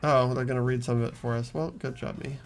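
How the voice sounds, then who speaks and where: A man's voice: medium pitch, fairly even intonation, fast talker, gravelly. A man hosting a casual game playthrough, reading aloud and commenting in a joking, self-mocking way. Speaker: host of a group game playthrough. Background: faint game music.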